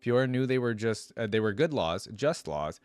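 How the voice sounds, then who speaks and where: A man's voice: medium pitch, lively intonation, moderate pace, darkish tone, clean tone, dry recording. A man hosting a reaction video, reading a story aloud and commenting in an informal, slangy way.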